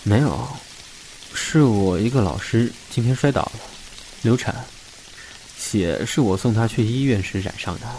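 A man's voice: fairly low pitch, a little slow, a little mellow, a little hiss or hum, low-quality recording. An actor playing a hurt, sarcastic young man betrayed in love, in an audio drama. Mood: cold.

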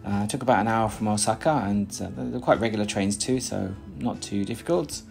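A man's voice: on the low side, fairly quick, gravelly, darkish tone, faint music behind. A British man in his thirties vlogging casually from a small room, rambling with lots of fillers and restarts. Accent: British English. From Britain. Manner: chatty, rambling, many "uh"s and restarts. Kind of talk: casual vlog chat and voice-over narration. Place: small plain room indoors.

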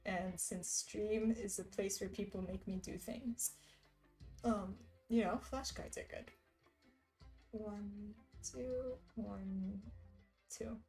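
A woman's voice: mid-range voice, fairly even intonation, slow pace, dark tone, faint music behind. A young woman streamer chatting casually and reading viewer messages aloud while she embroiders, with frequent little laughs. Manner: reads chat messages aloud, chatty and giggly. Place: indoor room.

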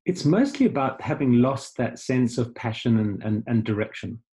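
A middle-aged man from Zimbabwe, a life coach guest on a video call, speaking thoughtfully and haltingly. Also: medium pitch, animated, dark tone. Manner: halting, many fillers and restarts, thoughtful. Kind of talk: casual video call conversation.

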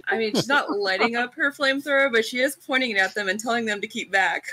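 Woman, bright crisp tone, dry close sound, casual player chat. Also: fairly high pitch, quick pace, no room sound, poor audio. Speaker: tabletop game player. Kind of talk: casual chat during a game.

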